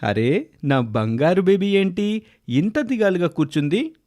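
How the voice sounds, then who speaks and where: Man as a kind, coaxing caretaker, lively pitch. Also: measured pace. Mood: doting. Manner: coaxing, affectionate, pleading. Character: kind, doting male old-age-home owner. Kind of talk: children's animated moral story.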